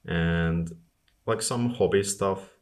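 Very deep-voiced man, casual interview guest. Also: slow pace. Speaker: interview guest, bike-touring traveller.

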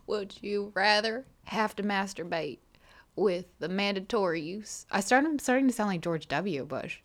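A woman's voice: mid-range voice, animated, measured pace. A woman co-hosting a podcast in casual chat, teasing and joking. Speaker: podcast co-host.

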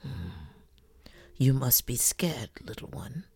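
A big gray wolf from a storybook, voiced by a woman, calm and patient. He speaks low and breathy, almost whispering, in slow musing phrases that gently guide. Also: no echo, exaggerated voice. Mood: quiet, probing. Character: tall gray male wolf, calm, quiet, gently guiding.

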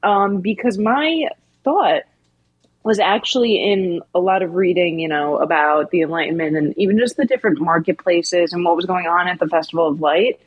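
Female podcast co-host, husky and a bit low, thoughtful casual chat. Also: moderate pace, no room sound, dull audio. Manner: thoughtful, searching for words with ums.

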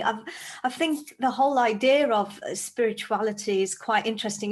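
A woman comedian who grew up in Zambia, chatting as a podcast guest in a bubbly way and thinking aloud as she goes. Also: a little high. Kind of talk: casual interview chat.

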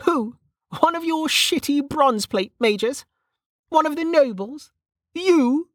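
A woman's voice: sits high, a little slow, no room sound, clean studio sound, voice pushed up. A woman voicing a bitter, weary, hot-tempered and foul-mouthed woman in an audiobook, snappish and scornful.

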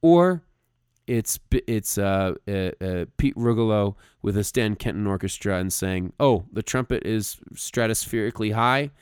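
A man's voice: lowish voice, animated, a little slow, clear, resonant tone, no echo, clean studio sound. A man co-hosting a podcast, casually explaining music with emphatic, stop-start phrasing. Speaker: podcast co-host.

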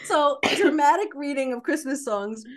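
A woman co-hosting a podcast in casual comic banter, with a giggly, playful and expressive delivery. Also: sits high, moderate pace. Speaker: podcast co-host.

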